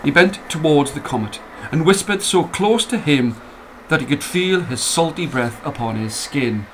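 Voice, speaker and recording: A man's voice: on the high side, lively intonation, normal speed, fairly bright tone, a little hiss or hum, rough recording. A man narrating a scripted story as a dramatic storyteller. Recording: music playing under the voice.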